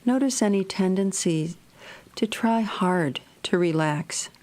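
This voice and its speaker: A woman narrating a guided meditation in a gentle, measured, soothing manner. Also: medium pitch.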